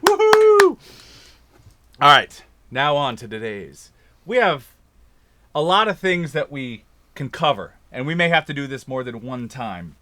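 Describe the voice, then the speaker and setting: A man's voice: fairly high pitch, huge pitch swings, unhurried, pauses often, sharp tone, a little hiss or hum. An upbeat, joking man from Kansas hosting a casual chat in a recording studio. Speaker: host.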